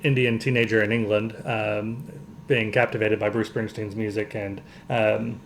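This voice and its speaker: Man, podcast co-host, gravelly fry, casual movie talk, halting and hedging, faint background noise. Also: on the low side, little pitch movement. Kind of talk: casual movie box office discussion.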